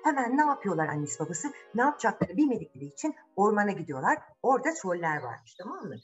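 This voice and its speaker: A woman tells a children's fairy tale in a very animated, dramatic way. She chats informally to young listeners and keeps asking them questions, with music playing softly behind her. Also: mid-range voice, fast. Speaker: storyteller.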